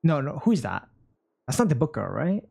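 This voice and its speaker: A man's voice: a little high, big pitch swings, moderate pace, gravelly, a little mellow, slightly rough. A man giving informal, exclamatory live reaction commentary as he plays a game. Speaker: streamer.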